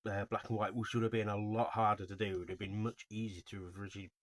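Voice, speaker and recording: A man giving informal, unscripted video commentary as a host, in a halting, rambling way with frequent self-corrections. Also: on the low side, little pitch movement, dry recording. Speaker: host of a video series on album cover art.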